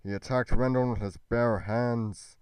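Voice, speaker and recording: A man playing an earnest young fantasy adventurer in an amateur roleplay, with a solemn, deliberate delivery. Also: medium pitch, steady tone, darkish tone, clean tone, no room sound, natural voice. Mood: anguished.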